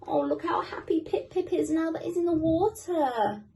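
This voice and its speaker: Female storybook reader, high pitch, expressive delivery for young children. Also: normal speed.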